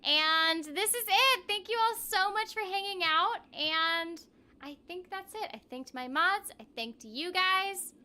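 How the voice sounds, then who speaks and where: A woman's voice: extremely high pitch, animated, measured pace, sharp tone. A woman hosting a casual game livestream, chatting playfully with her viewers as she plays. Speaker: game livestream host, voice actor. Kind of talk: casual livestream chat while playing a game. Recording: game music behind the voice. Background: video game music.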